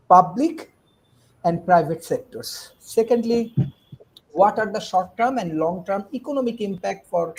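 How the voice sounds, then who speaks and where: A man's voice: sits high, darkish tone, poor audio. Earnest Bangladeshi-accented man. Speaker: lecturer asking questions. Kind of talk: forum discussion question.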